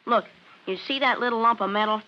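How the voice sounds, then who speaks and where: A woman playing an eager, clever schoolboy in an old-time radio drama, talking in an excited, emphatic, slangy way. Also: fairly high pitch, brisk, natural voice. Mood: explaining, confident. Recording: dull old radio recording.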